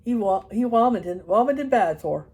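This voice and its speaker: A woman's voice: medium pitch, lively intonation, darkish tone, breathy edge. A woman reading a story and voicing a solemn, hard-of-hearing older man with an Irish accent. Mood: plain, loud. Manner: slow, plain, hard of hearing.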